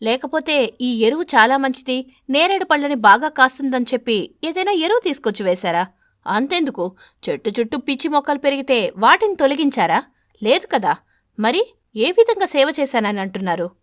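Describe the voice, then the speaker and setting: Woman as a scolding, high-voiced wife pigeon. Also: big pitch swings, moderate pace, a bit bright, no echo, clean studio sound. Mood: indignant. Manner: accusing, rhetorical questioning. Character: scolding, indignant wife pigeon guarding her tree.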